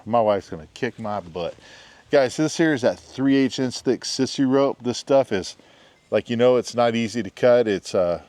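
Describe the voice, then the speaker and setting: A man's voice: on the low side, darkish tone, dry recording. Chatty man with a head cold. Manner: chatty, jokey, says "guys" and "bam" a lot. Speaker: knife reviewer. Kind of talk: casual product demo. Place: backyard, outdoors.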